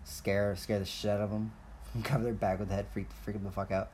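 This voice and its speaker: A man's voice: fairly low pitch, level tone, a little fast, darkish tone, a little hiss or hum. A young man, originally from Colombia, rambling in a profane, jokey, self-mocking rant as a podcast host. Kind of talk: casual rambling monologue.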